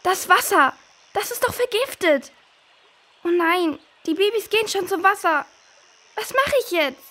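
High, expressive young girl. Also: unhurried, full character voice. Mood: worried, determined. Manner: expressive, thinking aloud. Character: worried, curious young girl in a jungle adventure.

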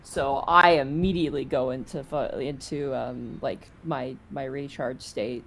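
A man chats casually during a tabletop game. His voice sits at a medium pitch, with noticeable noise on the recording. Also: fairly even intonation, unhurried, fairly bright tone. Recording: clear background noise on mic.

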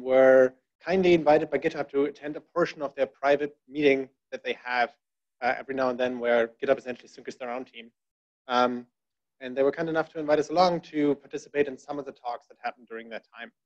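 A man giving a conversational talk at a tech meetup from a podium, with many fillers and wry asides. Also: mid-range voice. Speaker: conference speaker. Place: dark presentation room. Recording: dull lo-fi room recording.

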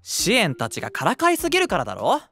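Earnest, very animated schoolboy. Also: male voice, high-pitched, fast, gravelly, heavily acted. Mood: scolding. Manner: strict, earnest. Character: earnest, scolding male high school honor student. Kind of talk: audio drama acting.

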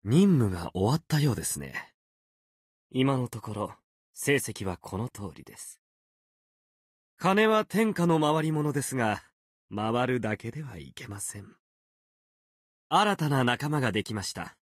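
Playful courtly fox spirit warrior for a game, kinda husky, swings up and down a lot, old-timey polite talk. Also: male voice, mid-range voice, sing-song, no echo, studio quality. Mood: polite, calm. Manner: archaic, polite, unhurried, theatrical speech. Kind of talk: Japanese video game character voice lines.

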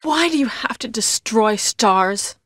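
An actress playing a brave young heroine in a video game, earnest and deliberate, with stilted delivery. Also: female voice, on the high side, lively intonation, fairly bright tone, breathy edge, no room sound, studio quality, heavily acted. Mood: accusing. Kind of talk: video game cutscene acting.

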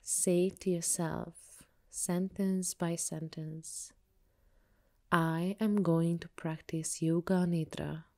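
A woman yoga teacher leading a guided meditation with soothing, measured, unhurried instructions. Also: on the low side, steady tone, dark tone.

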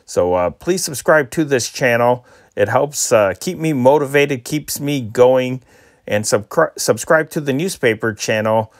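An American man from Chicago hosting with casual, unscripted, halting and rambling talk. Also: medium pitch, expressive. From Chicago, United States. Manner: halting, rambling, hesitant phrasing. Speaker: channel host.

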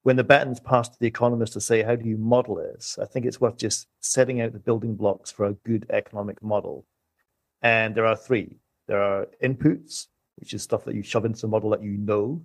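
Low-voiced economist, orderly. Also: male voice, no echo. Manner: orderly, lists points in steps. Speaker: economist guest. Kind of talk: podcast discussion, structured explanation.

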